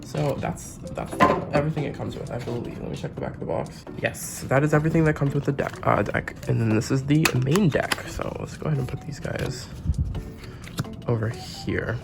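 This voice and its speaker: A man with a sore throat and a hoarse, sick voice, chatting casually as he unboxes and reviews a card game deck. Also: medium pitch, a little fast. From Canada. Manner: chatty, gushing over cute packaging. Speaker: trading card game YouTuber. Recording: music playing underneath.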